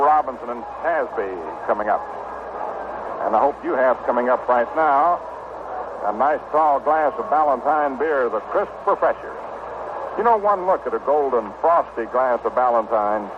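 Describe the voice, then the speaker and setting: A man's voice: mid-range voice, narrow, tinny audio, background noise. A man announcing on baseball radio in a 1960s play-by-play commentary style, on a vintage broadcast. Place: stadium broadcast booth.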